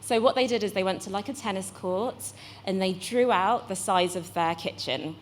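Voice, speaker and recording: A woman's voice: mid-range voice, moderate pace, a little hiss or hum. A British woman in her forties, a guest speaker, explaining in a chatty way and correcting herself as she goes. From United Kingdom. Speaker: guest speaker, accelerator managing director. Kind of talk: fireside chat on a panel stage.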